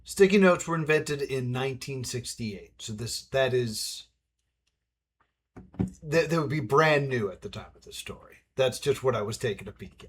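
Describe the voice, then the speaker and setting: A man's voice: mid-range voice, expressive, moderate pace, slightly rough. A man giving casual live reaction commentary as a let's play streamer.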